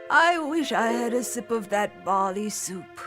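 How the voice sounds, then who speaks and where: A sick young storybook prince, acted by a woman narrator, speaks plaintively and wishfully. The voice sits fairly high, with music playing behind it. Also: lively intonation, measured pace. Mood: longing.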